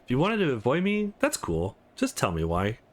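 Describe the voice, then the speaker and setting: A man's voice: mid-range voice, huge pitch swings, normal speed. A man voicing a concerned young protagonist in a visual novel, casual and wry in manner. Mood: hurt.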